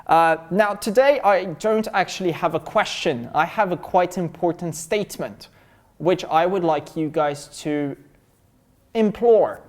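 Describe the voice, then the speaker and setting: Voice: medium pitch, unhurried. A teacher who opens a lesson casually, greeting his students in a breezy, chummy way. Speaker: English teacher. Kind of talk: casual vlog-style lesson intro.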